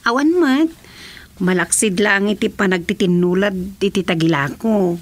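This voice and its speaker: An actress playing a disgruntled, complaining market vendor in a radio drama, with an Ilocano Filipino accent. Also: female voice, medium pitch, normal speed, lightly acted. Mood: aggrieved. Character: disgruntled, complaining woman vendor gossiping about a rival. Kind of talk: comedy radio drama acting.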